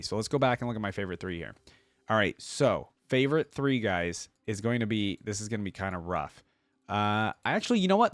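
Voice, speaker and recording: Man, lowish voice, dry close sound, casual reaction host, informal, thinking aloud. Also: no room sound.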